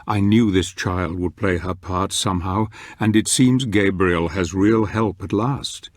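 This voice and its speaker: Brooding storyteller, very low pitch, portentous delivery, dark fantasy game, dry close sound. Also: male voice, no echo. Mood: grave, foreboding. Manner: portentous, measured, theatrical. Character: grave older male narrator, brooding storyteller of a dark fantasy. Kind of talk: scripted dramatic narration.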